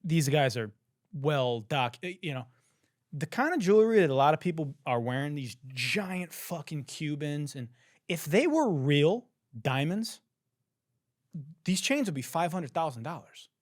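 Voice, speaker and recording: A young man hosting a podcast, ranting in disbelief with lots of swearing and laughter, a little hoarse. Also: fairly high pitch, animated, a little slow, no room sound, clean studio sound. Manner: profane, laughing, incredulous ranting. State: hoarse, says he has lost his voice. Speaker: podcast host. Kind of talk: casual comedic commentary.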